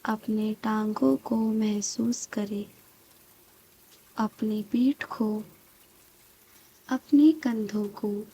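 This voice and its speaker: Very slow, soft, breathy woman guiding meditation. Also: medium pitch, rough recording. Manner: calm, soothing, long pauses between short phrases. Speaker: meditation guide. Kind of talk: slow guided meditation, scripted.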